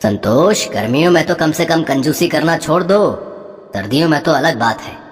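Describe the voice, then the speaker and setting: A woman voicing a calm, easygoing middle-aged husband who coaxes his wife to stop penny-pinching. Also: low-pitched, normal speed, long reverb tail, soft background music. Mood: coaxing. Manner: calm, coaxing.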